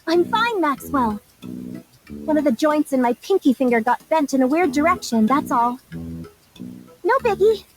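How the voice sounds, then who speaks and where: A woman's voice: high-pitched, sing-song, measured pace, long pauses, soft background music, poor audio. A woman playing a boastful, childlike hero girl in a video game, with a theatrical, goofy delivery. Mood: flippant.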